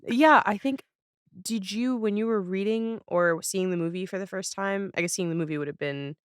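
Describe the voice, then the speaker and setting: A woman's voice: medium pitch, normal speed, clear, resonant tone, no room sound, studio quality. A woman hosting a casual interview, asking questions in a curious, informal, tentative way. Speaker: podcast host, interviewer.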